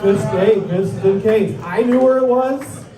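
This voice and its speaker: A man's voice: high-pitched, unhurried, mellow, far from the mic. A man in his fifties emceeing a team event, introducing players with teasing, upbeat banter. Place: large indoor event hall. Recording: echoey hall from afar with crowd.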